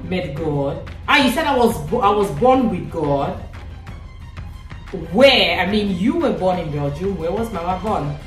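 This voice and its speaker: A woman's voice: lowish voice, expressive, a little slow. A woman in her thirties from Cameroon, a vlogger mother hosting a casual family quiz at home in a playful, teasing way. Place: indoor living room at home. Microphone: camera set back from the speaker. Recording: echoey room, distant camera, faint music.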